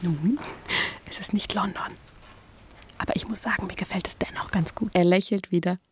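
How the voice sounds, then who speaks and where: A woman acting a gentle, thoughtful gentlewoman in her twenties in a German radio play, earnest and restrained. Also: mid-range voice, fairly even intonation, moderate pace, in a whisper, close to an everyday voice. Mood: hushed, hopeful.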